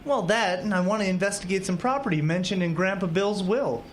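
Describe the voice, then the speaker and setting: A woman's voice: medium pitch, brisk, natural voice. A woman playing a cheerful, patient young small-town husband in an audio drama, upbeat and reassuring. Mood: earnest. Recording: noticeable background noise.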